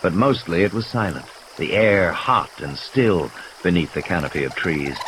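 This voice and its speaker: A man's voice: low voice, moderate pace, fairly bright tone, a little hiss or hum, rough recording. A man reading scripted dramatic story narration as an audiobook narrator.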